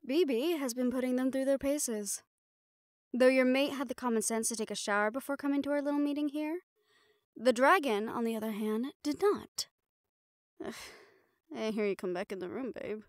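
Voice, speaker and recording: A woman's voice: sits high, moderate pace, dry recording, clean studio sound. A woman performing an audio roleplay, talking in casual, profane, wry banter.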